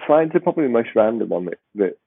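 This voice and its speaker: A man hosting a podcast, with a croaky voice and a rambling, restarting way of talking. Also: mid-range voice, animated, quick pace, no room sound. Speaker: podcast host. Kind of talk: casual podcast chat. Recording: tinny phone-like line.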